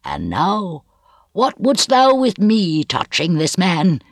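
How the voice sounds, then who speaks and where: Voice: mid-range voice, wildly swooping pitch, slow pace, no echo, voice pushed up, full character voice. A reader voicing a bitter, gloomy, vengeful old male physician with grave, archaic, rhetorical speech in a storybook reading. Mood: cold.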